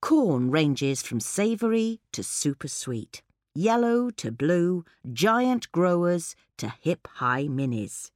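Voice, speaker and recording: A British woman reading a scripted magazine article in a clear, measured manner. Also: on the low side, very animated, darkish tone, no echo, clean studio sound. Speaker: podcast article reader. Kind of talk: scripted narration of a gardening article.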